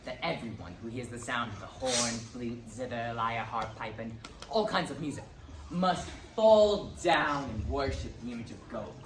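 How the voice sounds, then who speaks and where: A man's voice: medium pitch, animated, normal speed, natural voice. A man playing an accusing astrologer addressing his king in a dramatized Bible recitation. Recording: echoey hall, distant, faint noise.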